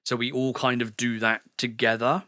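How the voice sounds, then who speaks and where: A man's voice: medium pitch, flat delivery, normal speed, sharp tone, no room sound, clean studio sound. A man from the UK, a podcast guest in a sauna house, chatting casually and rambling with many fillers and restarts. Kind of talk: casual chat.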